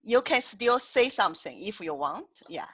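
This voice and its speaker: A woman's voice: mid-range voice, big pitch swings, moderate pace, a bit bright, breathy edge. A woman hosting an online poetry event over a video call, talking in a hesitant way with many restarts and fillers. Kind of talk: casual online discussion.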